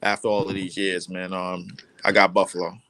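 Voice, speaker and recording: A man's voice: sits low, completely flat delivery, moderate pace, fairly bright tone. A man hosting a casual sports podcast, talking bluntly with profanity and repeating himself when he gets going. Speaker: podcast host.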